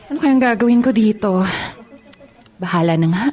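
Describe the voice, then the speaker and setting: A woman's voice: mid-range voice, animated, normal speed, natural voice. An actress playing a kind, studious young college woman who is shy and eager to help in a radio drama. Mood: sweet. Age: young adult.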